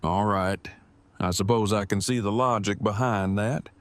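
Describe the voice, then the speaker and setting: A man playing a formal, awkward nerdy guy, low-voiced. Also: steady tone, a little slow, dry recording, natural voice. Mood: awkward, agreeable. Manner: formal, long-winded, precise wording. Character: earnest, awkward, verbose nerdy man newly in love. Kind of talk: audio drama acting.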